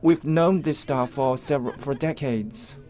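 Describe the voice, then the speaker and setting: A man with a Chinese accent interprets live event coverage, speaking in halting, piecemeal phrases with repeated false starts. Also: medium pitch, warm tone.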